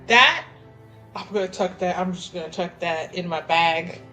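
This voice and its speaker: A woman's voice: on the low side, normal speed, bright, forceful tone. Casual woman playing a tabletop game, music behind. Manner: informal, thinking aloud. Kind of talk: casual improvised roleplay chat. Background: background music.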